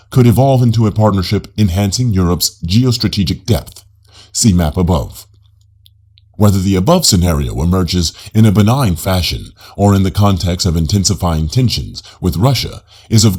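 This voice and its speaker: A man reading a nonfiction audiobook in a formal, measured manner. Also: low-pitched, dark tone, slightly rough. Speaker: audiobook narrator.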